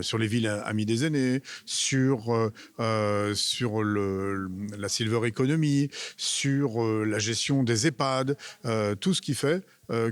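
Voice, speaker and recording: A man giving measured explanatory interview answers as a local official, hesitating and repeating words as he searches for phrasing. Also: mid-range voice, no room sound.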